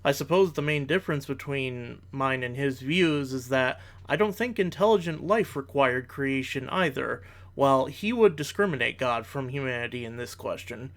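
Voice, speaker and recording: Guy doing rebuttal commentary, medium pitch, formal and analytical way of talking. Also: expressive, normal speed, hardly any pauses, fairly bright tone. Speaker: video creator giving rebuttals. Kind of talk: reaction commentary, argumentative.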